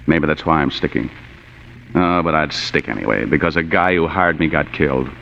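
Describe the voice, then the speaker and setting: A man's voice: extremely low pitch, normal speed, creaky. A man acting a cynical, tough private detective in 1940s radio noir style, with clipped, sardonic slang. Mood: sardonic, firm. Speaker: actor in a radio drama. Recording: muffled, noisy old radio broadcast.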